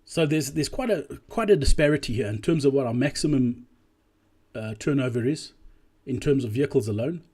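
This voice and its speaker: A man giving an explanatory business presentation as a voice-over, speaking deliberately and emphatically and repeating key phrases, with a slightly husky voice. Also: mid-range voice, lively intonation, dark tone. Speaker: presenter analysing drive-through performance.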